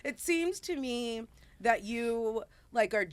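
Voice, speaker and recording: A woman's voice: on the high side, expressive, takes it slowly. A woman hosting a podcast interview who speaks in an upbeat, encouraging way with casual slang. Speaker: podcast host.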